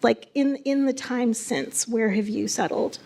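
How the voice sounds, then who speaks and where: A woman's voice: on the high side, unhurried, gravelly, dark tone. A woman interviewing an author at a bookstore event, asking thoughtful questions while searching for her words.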